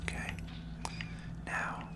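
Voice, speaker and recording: A gamer giving soft, relaxed, whispered ASMR commentary while playing a video game. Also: drawn-out words. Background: video game music.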